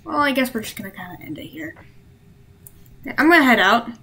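Teen girl, high bright voice, excitable casual gaming chat. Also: husky. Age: teens. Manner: excitable, quick reactions. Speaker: streamer.

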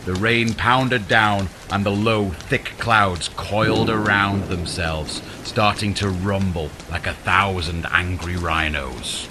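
A man reading a scripted fantasy audiobook in a dramatic, deliberate storytelling manner. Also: low-pitched, background noise. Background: music under the voice.

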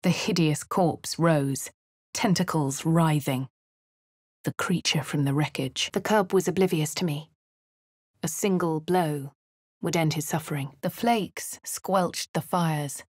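Posh composed adventurer. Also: female voice, low voice, takes it slowly, a little mellow, dry recording, studio quality. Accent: posh English. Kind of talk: game character voice lines.

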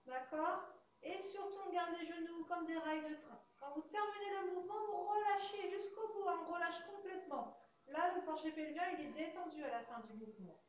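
A young woman with an English accent leading a Pilates class, calmly calling out breaths as she demonstrates, recorded from a far camera in a large studio. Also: high-pitched. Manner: calm, counts breaths while demonstrating. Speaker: Pilates instructor. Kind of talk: guided exercise class. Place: large fitness studio with hard floor, indoors. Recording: echoey studio, dull sound, faint hiss.

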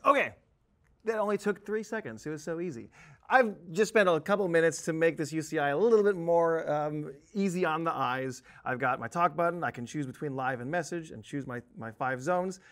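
A man in his thirties presenting a software tutorial in an animated, conversational, emphatic manner. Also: on the high side, brisk. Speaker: software trainer. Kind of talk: tutorial presentation with on-screen demonstration. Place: indoor presentation studio at a desk.

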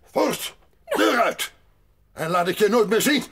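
An actor playing a gruff, stubborn old grandfather in a radio play, husky and blunt, speaking slowly. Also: sits high, very animated, a bit bright, heavily acted. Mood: indignant, angry. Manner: slow, grumbling, blunt. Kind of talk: Dutch radio play acting.

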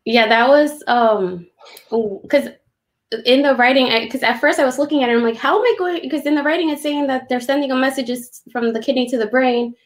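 A woman's voice: sits high, brisk. A young woman, an illustrator, chatting informally and casually in a conversation about her work over a video call from a room at home. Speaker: children's book illustrator. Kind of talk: casual conversational book talk. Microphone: video call, computer at desk distance.

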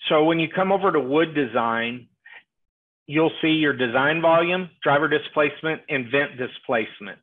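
A middle-aged man presenting a live software tutorial, explaining things step by step over a headset on a video call. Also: a little high, moderate pace. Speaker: webinar presenter demonstrating software. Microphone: earpiece headset on a video call. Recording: tinny, phone-like webinar audio.

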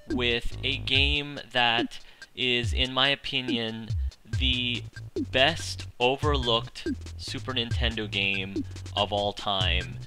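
A man hosting a Let's Play in a casual, enthusiastic way, phrasing things deliberately and with emphasis. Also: fairly low pitch, pushed and bright. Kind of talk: casual video introduction over game music. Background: video game music.

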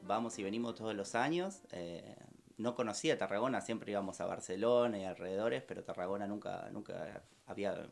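An Argentine man in his thirties answers a radio host's questions from a studio mic. His voice sits low and carries a gravelly fry. He talks casually and haltingly, often pausing to restart a phrase. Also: soft background music. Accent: Argentine Spanish. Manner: halting, many fillers and restarts. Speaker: bar owner guest. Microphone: studio microphone with headphones.

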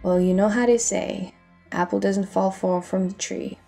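A woman acts out a sly female smuggler in a pirate audio story. She is cool and pointed, with a dark tone and a creaky edge. Faint music plays behind her. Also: mid-range voice, steady tone, unhurried. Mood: cool, needling. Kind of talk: dramatic audio roleplay acting.

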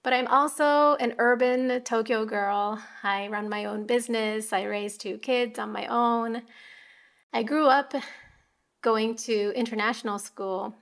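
Gravelly-voiced American-accented woman host. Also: on the high side, fairly even intonation. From Japan. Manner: soft and reflective, measured. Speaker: podcast host. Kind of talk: personal storytelling. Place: home.

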